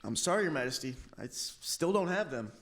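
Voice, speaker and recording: A man's voice: a little high, sing-song, brisk, a bit bright. An actor playing a naive, cheerful boy who knows no fear in a comic radio play. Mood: guileless, eager. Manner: guileless, unbothered. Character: naive, fearless, cheerful simple-minded boy hero.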